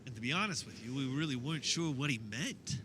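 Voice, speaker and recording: An actor playing an earnest, uneasy disciple of Jesus, telling his story in a halting, conversational radio theater monologue. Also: medium pitch, expressive, background noise, lightly acted. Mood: awed, hushed. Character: earnest, uneasy male disciple of Jesus recalling the last supper. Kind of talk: dramatic first-person radio theater monologue.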